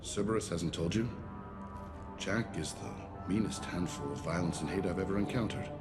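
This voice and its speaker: Man as a deep, stern alien prison warden. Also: moderate pace, natural voice. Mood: smug, matter-of-fact. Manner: formal, authoritative, self-assured. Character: stern alien warden of a space prison ship, self-righteous, commanding. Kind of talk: video game voice acting. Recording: loud music behind the voice.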